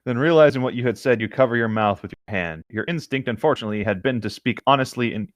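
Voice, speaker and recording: A man's voice: medium pitch, quick pace, dry recording, clean studio sound. A man reading fan fiction aloud as a co-host, slipping into casual banter between passages.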